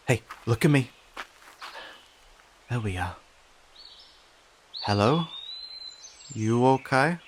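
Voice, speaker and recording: A man playing a friendly young cat-person in an audio roleplay, talking informally and hesitantly to a silent listener, with gentle questions and dry teasing. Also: mid-range voice, a little hiss or hum. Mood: concerned.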